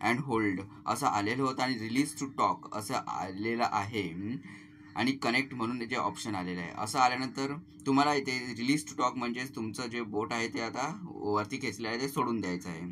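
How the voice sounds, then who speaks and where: Man, medium pitch, tech tutorial host, explanatory step-by-step delivery, noticeable background noise. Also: sharp tone. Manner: explanatory, addresses viewers as friends. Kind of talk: step-by-step screen tutorial narration. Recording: clearly noisy voice-over recording.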